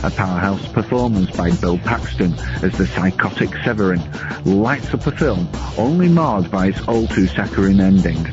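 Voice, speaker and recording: Low-voiced man narrating over music. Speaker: film reviewer, guest contributor. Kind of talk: scripted film countdown narration. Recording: dull lo-fi recording under music.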